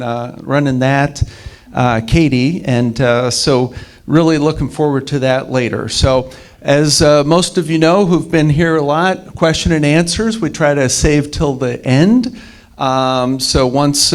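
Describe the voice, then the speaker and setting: Man, event host, hesitant introduction, frequent fillers, unhurried pace. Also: mid-range voice. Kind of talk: introducing a guest speaker.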